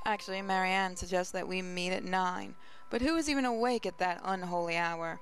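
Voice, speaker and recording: A woman's voice: on the low side, normal speed, a little hiss or hum. A woman reading a visual novel aloud as a first-person narrator, with dramatic storybook delivery. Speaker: gaming channel host. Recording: music playing behind voice. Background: game music.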